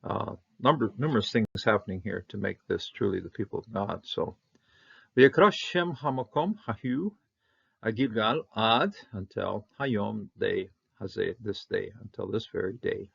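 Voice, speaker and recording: A man with a low, husky, gravelly voice works through a scripture text, stopping and restarting often and lifting his voice on each foreign word. The recording sounds dull and lo-fi. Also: a bit bright. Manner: halting, many fillers, repeats, bursts on Hebrew words. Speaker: Bible Hebrew teacher. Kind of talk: unscripted verse-by-verse Hebrew lesson.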